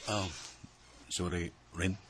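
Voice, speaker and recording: An actor playing an apologetic young boyfriend in a Cebuano Filipino radio drama, speaking in a subdued, halting way. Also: male voice, sits low, little pitch movement, a bit bright, a little hiss or hum. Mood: ashamed, subdued. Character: apologetic young boyfriend confessing a hidden truth.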